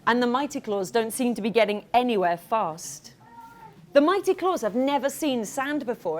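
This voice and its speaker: Animated, high-voiced woman reading to children. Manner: animated, interactive, asks the audience questions. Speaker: children's author reading own book. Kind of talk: live storybook reading to children. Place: room with an audience of children.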